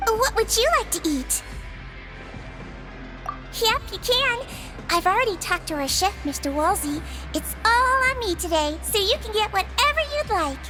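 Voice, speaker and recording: Very high, sweet little nurse creature. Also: female voice, sing-song, moderate pace. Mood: helpful. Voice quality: sweet, childlike. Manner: gentle, polite, attentive. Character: small caring female Melusine nurse, gentle, big-sisterly. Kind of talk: video game character acting. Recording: music behind the voice.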